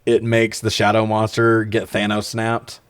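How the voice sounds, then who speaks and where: A man's voice: fairly low pitch, monotone, unhurried, clear, resonant tone, dry recording. A man co-hosting a podcast, giving a casual, sardonic film recap with plenty of swearing. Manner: sardonic, profane. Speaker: podcast co-host.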